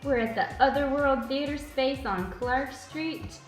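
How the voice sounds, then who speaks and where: A woman's voice: high-pitched, unhurried. A woman improv performer giving a casual plug for a show. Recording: echoey room, music behind.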